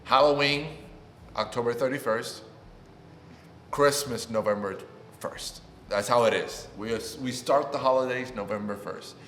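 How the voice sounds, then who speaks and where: A man's voice: medium pitch, measured pace, a little hiss or hum. A man in his twenties from Puerto Rico, a native Spanish speaker, giving an upbeat, chatty introduction on his new channel. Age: 20s. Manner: upbeat and chatty, rising with excitement. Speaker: YouTuber. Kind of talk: casual vlog self-introduction. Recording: echoey room with long reverb.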